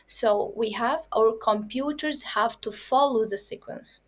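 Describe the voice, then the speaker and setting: Woman, slightly high voice, patient coding teacher for kids, repeats herself, lots of uh and okay. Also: unhurried, a bit bright. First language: Arabic. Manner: explanatory, repeats points, frequent "uh" and "okay". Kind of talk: kids' coding lesson.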